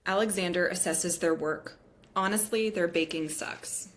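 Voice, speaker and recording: A woman's voice: fairly low pitch, unhurried. A woman reading the stage directions of a script aloud as scripted narration at a table read.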